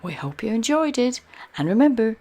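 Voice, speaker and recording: A woman reading a picture book aloud to children, expressively and with breathy, deliberate emphasis. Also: a little high, big pitch swings, warm tone. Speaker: children's storyteller. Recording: music behind the voice.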